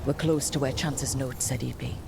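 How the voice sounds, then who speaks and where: A woman's voice: a bass voice, steady tone, moderate pace, vocal fry, very noisy. A woman acting as a determined young leader of a fantasy adventuring party in a video game. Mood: grave. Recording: loud music behind.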